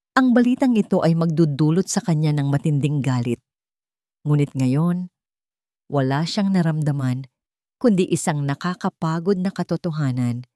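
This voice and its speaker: Female story narrator, Filipino accent, low register, very dry close sound, scripted dramatic read. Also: warm tone, dry recording.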